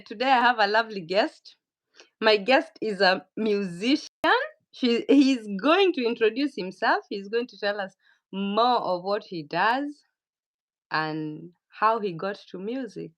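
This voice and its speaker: A woman hosts a casual talk show and welcomes her guest. Her voice sits fairly high, and she speaks slowly and easily. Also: expressive. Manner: slow, easygoing, laughs readily. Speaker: show host. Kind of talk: casual interview hosting.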